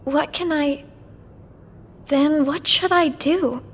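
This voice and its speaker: A woman voicing a gentle, anxious young girl in a game cutscene, speaking softly and hesitantly with earnest feeling. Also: high voice, slow pace, slight background noise. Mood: uncertain, earnest. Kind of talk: dubbed game cutscene acting.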